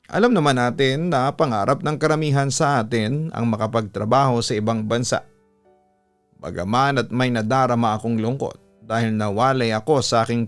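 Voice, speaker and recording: A man with a Filipino accent narrating a scripted story like a radio announcer, with measured, deliberate, dramatic phrasing. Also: medium pitch, clear, resonant tone. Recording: music bed under the voice. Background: background music.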